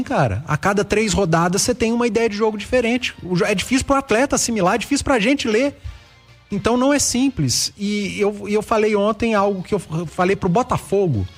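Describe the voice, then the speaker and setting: A male sports radio pundit with a fairly high voice, talking fast and breaking off to restart his phrases. Faint music plays underneath. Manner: animated, restarts mid-phrase.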